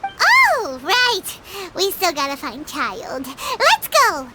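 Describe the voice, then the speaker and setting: A woman voicing a tiny, cheeky fairy companion with a squeaky childlike voice in a video game. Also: wildly swooping pitch, very slow, heavy background noise, full character voice. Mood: chatty. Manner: cheeky, speaks of herself in third person. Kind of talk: cartoonish video game voice acting. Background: prominent game music.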